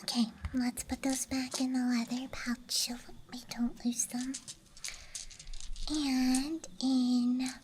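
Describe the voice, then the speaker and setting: A woman softly whispering an ASMR show-and-tell in a hushed, unhurried murmur, with trailing phrases. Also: a little high. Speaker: ASMR host. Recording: clear background noise, night ambience. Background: night campground ambience and clicking of handled objects.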